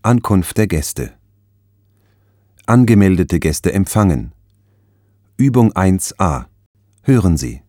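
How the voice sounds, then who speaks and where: A deep male voice reads out textbook exercise instructions slowly, with lively shifts in pitch. The delivery is scripted, measured and instructional. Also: dry recording. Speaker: language course narrator.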